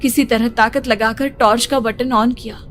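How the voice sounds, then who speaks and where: A woman's voice: on the high side, steady tone, normal speed, soft background music. A woman narrating a horror story in the first person with a suspenseful, theatrical delivery.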